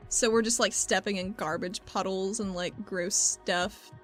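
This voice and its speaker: A woman's voice: medium pitch, fairly even intonation, unhurried. A woman chatting informally and wryly as a player in a tabletop roleplaying game. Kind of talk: casual game chat with bits of roleplay. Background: background music.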